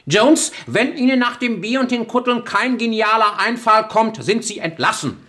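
An actor playing a domineering, impatient boss of eccentric collectors in a radio play, giving clipped commands sprinkled with English words. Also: male voice, high voice, expressive, normal speed, sharp tone. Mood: stern, impatient. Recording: music behind the voice.

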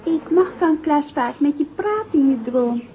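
A gently nagging village sweetheart. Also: female voice, sits high, animated, normal speed. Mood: coaxing. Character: young village woman in a dream, coaxing. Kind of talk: radio play acting. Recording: tinny phone-like sound.

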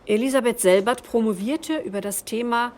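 A woman narrating historical facts for a radio documentary in a factual, even manner. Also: a little high, moderate pace, slight background noise.

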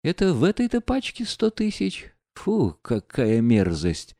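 Guy reading as a bitter proud young woman, mocking and reckless, voice swinging up and down, dry mellow sound. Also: on the high side, huge pitch swings, unhurried, dry recording, voice pushed up, exaggerated voice. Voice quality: mocking, defiant.

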